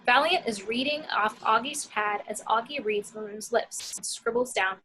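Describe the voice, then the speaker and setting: Bright, high-voiced woman narrating a script. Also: normal speed, slight background noise, low-quality recording. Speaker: action-description reader.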